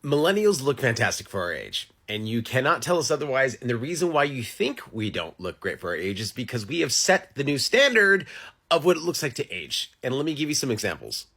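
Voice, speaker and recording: A man of thirty-seven talks casually and bluntly to camera. His voice is husky, with very lively swings of pitch, and he leans hard on his points. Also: brisk. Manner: emphatic, blunt, sweary. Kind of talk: casual emphatic social media rant.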